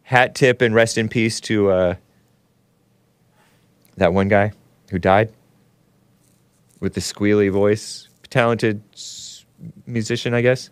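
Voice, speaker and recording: A man's voice: lowish voice, steady tone, takes it slowly, long pauses, clean tone, dry recording. A man with a nasal voice hosting a casual, opinionated talk show, rambling, laughing often and chatting with viewers. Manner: rambling, laughs often, chats with the live chat. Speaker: show host.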